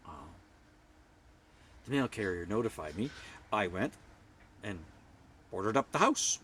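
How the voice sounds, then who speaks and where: A middle-aged man from Canada with a loud voice, ranting bluntly to camera and swinging from hushed whispers to forceful outbursts. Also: lowish voice, sing-song, unhurried, slight background noise. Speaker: vlogger. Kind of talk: personal rant to camera.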